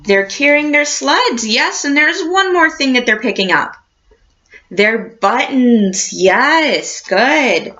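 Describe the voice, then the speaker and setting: A woman reading a picture book aloud like a teacher, expressive and encouraging, addressing young children. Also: high voice, slow, highs cut off. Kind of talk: storybook read-aloud with questions to a class.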